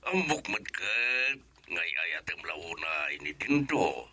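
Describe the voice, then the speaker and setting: A man's voice: low voice, very animated, slow pace, noisy background, full character voice. A man with an Ilocano accent playing a fond, teasing older father in a radio drama. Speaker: father character.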